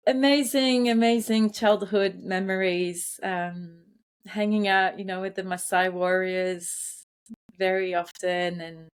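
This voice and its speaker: Slow, thoughtful woman telling personal stories. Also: medium pitch. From born in France, grew up partly in Kenya. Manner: hesitant, thoughtful phrasing. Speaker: interview guest, environmental psychologist. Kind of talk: reflective personal storytelling in conversation.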